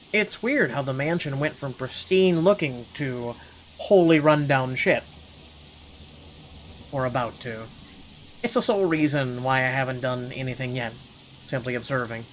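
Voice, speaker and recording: A man's voice: mid-range voice, background noise. A man reading a first-person story aloud dramatically as a gaming host, breaking off for blunt, sweary reactions. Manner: expressive, sweary commentary between read passages.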